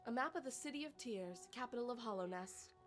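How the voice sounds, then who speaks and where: A female map seller from a fantasy game, acted by a woman. Her voice is fairly high, and she delivers scripted descriptive lines. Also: expressive, brisk, soft background music, close to an everyday voice. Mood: wistful.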